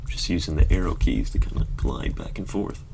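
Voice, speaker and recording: A man's voice: a bass voice, lively intonation, brisk, creaky, background noise. A man chatting casually and rambling in a self-deprecating way while playing a computer game on a live stream.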